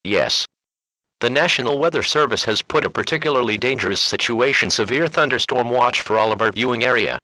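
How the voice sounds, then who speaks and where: Man acting as a serious male local weatherman, crisp bright tone, very dry sound, scripted report. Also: medium pitch, a little fast, dry recording, poor audio.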